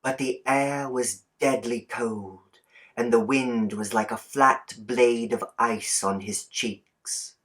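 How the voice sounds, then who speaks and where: A man reading a short story as an audiobook, in a deliberate, expressive storytelling delivery. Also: medium pitch. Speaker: audiobook reader. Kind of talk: scripted storybook narration.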